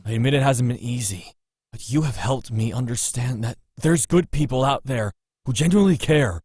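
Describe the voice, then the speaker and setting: An actor playing a gruff, stubborn man in a visual novel, blunt and cocky but caring. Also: medium pitch, normal speed, darkish tone, no echo, clean studio sound, natural voice. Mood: earnest, encouraging.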